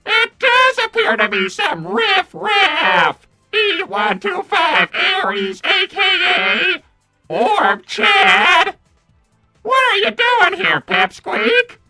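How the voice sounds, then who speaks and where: A woman's voice: extremely high pitch, sing-song, extremely slow, vocal fry, pushed and bright, faint music behind, exaggerated voice. A woman voicing a smug little robot henchman in a comic cartoon roleplay, taunting and sarcastic. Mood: sneering.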